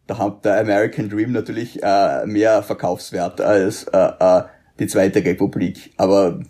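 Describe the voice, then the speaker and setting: Male guest from Vorarlberg, low and husky, hesitant and reflective, lots of fillers. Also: dark tone. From Vorarlberg, Austria. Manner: hesitant, many fillers and restarts, thoughtful. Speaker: podcast guest. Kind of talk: conversational podcast discussion.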